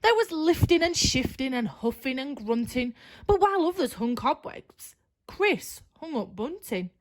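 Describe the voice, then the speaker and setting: A woman storyteller narrates a rhyming children's tale. Her voice is high and very animated, and she takes her time with expressive, dramatic phrasing. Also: measured pace.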